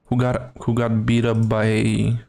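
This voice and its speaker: Slow, mellow male game streamer. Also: lowish voice, monotone, vocal fry. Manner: offhand, thinking aloud in fragments. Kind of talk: casual gameplay commentary.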